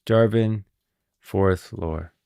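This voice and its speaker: Casting: informal male live streamer talking through game lore, low-pitched with vocal fry, dry studio-like sound. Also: drawn-out words, mellow, no echo. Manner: informal, thinking aloud. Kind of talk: casual lore commentary.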